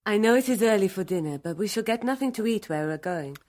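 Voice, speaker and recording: A woman's voice: medium pitch, expressive, fast talker, mellow, natural voice. Composed, formal woman played by an actress. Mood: cool, brisk. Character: cool, formal Lebanese woman aide to guerrillas. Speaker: actor playing a guerrilla's go-between. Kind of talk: radio drama dialogue.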